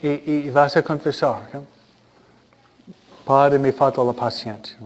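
Slow, halting priest preaching. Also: male voice, medium pitch, fairly even intonation, lo-fi sound. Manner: unhurried, hesitant, frequent rhetorical questions. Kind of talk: conversational sermon with humor.

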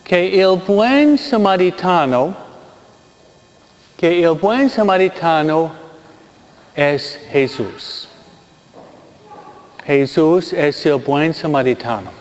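High-pitched, slow-preaching man in his sixties. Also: expressive. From Michigan, United States. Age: 60s. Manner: deliberate, repeats phrases for emphasis. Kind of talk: sermon with personal anecdote. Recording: dull sound in long-reverberant hall.

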